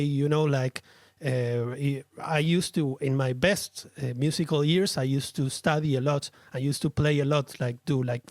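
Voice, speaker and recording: A man's voice: a little high, dry recording, clean studio sound. A man from Argentina with an Argentinian accent, a Spanish speaker talking as a guest, short on sleep, searching for words and restarting often. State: tired from little sleep. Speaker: guest, musician and streamer. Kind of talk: casual interview chat.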